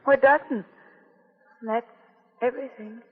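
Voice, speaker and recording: A frightened young woman who has lost her memory, anxious and pleading. Her voice has a soft breathy quality. It comes through thin and tinny, like an old radio play. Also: on the high side, steady tone, a little slow. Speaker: detective's client. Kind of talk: 1940s radio acting.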